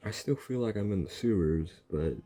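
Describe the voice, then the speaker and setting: Reaction streamer: man, casual and slangy, dark relaxed tone with big pitch swings. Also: fairly quick, soft background music. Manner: joking, exclaiming, slangy. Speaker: gaming streamer. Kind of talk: casual game reaction commentary.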